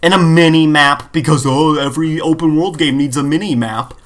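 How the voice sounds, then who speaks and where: A man's voice: a little high, brisk, a bit bright. A man co-hosting a gaming podcast in casual chat, rambling with opinionated exasperation. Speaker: podcast co-host.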